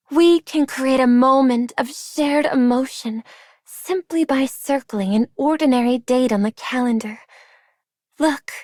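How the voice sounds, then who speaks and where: A woman's voice: high voice, dry recording, clean studio sound. A woman playing an earnest, thoughtful idealist in a video game, speaking in an articulate, formal way. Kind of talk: video game character voice acting.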